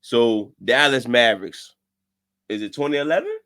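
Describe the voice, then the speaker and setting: A man co-hosting a casual sports podcast, relaxed and steering the topics, often repeating his words. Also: mid-range voice, moderate pace. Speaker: podcast co-host. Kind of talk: casual sports debate chat.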